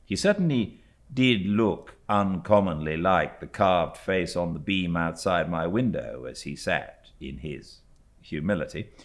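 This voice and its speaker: Very deep, expressive British male narrator. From Britain. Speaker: audiobook narrator.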